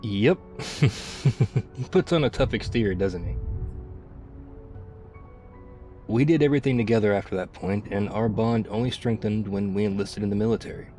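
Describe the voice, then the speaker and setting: A man playing a battle-worn, wistful ex-soldier survivor of a zombie apocalypse, confiding haltingly and reflectively in an intimate ASMR roleplay. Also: lowish voice, mellow, faint music behind, close to an everyday voice. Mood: nostalgic, wry.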